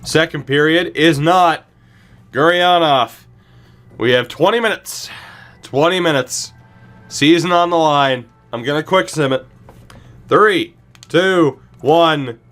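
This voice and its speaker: A man commentating on a video game livestream, talking to his viewers in an animated way and repeating phrases for emphasis. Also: fairly high pitch, slow, long pauses, faint music behind.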